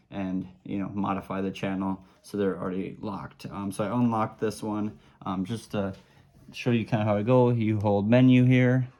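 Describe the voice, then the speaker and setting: A man's voice: fairly low pitch, a little mellow. A man giving a casual product demonstration, explaining simple steps in an easygoing, informal way. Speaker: product reviewer.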